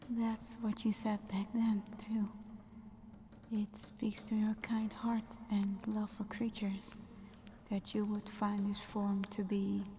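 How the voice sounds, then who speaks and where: Lady doing a shy kitsune girl asmr thing, soft n hesitant, really even pitch, bit of background noise. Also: slow, pauses often. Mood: fond, amused. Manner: soft-spoken, hesitant, tender, breathy half-whisper. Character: shy, gentle young female kitsune fox spirit rescuer. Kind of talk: whispered ASMR roleplay. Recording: faint background noise and ambience.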